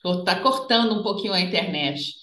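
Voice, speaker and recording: A woman's voice: mid-range voice, a little fast, pushed and bright, reverberant. A woman interviewing a guest over a video call, prompting her with short, encouraging questions.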